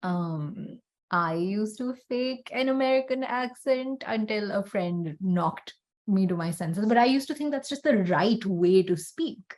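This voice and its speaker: A young woman with a Pakistani accent, co-hosting a casual podcast and steering the conversation in a measured way. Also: mid-range voice, lively intonation, a little mellow. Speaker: podcast co-host.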